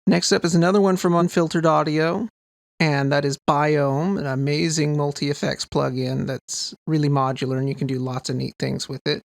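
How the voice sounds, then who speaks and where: A man with a fairly high voice and noticeable vocal fry walks through a tutorial in an informal, explanatory way. He sounds keen on his gear, and the voice is recorded close and very dry. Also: level tone, normal speed, no room sound. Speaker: sound designer presenting a tutorial. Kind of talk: casual explanatory tutorial narration.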